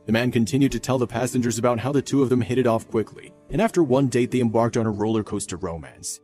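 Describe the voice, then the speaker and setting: A male narrator delivers a scripted viral story at a brisk clip. His tone is mellow and soft-edged, sitting at a medium pitch, with faint music behind him. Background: faint background music.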